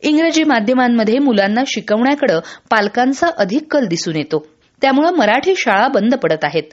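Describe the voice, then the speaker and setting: A woman reading a scripted regional radio news bulletin in a formal, measured way. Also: on the high side, lo-fi sound.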